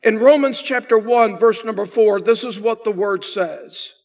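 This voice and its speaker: An older pastor with a notably high-pitched voice delivers a sermon in a church sanctuary. He preaches emphatically from the pulpit, building in force on his key points. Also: unhurried. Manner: emphatic preaching, swelling louder on key phrases.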